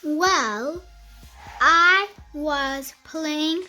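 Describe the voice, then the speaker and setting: A child reading a picture book aloud in a careful, halting beginner's way, with music behind. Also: sits high, bright, forceful tone, rough recording.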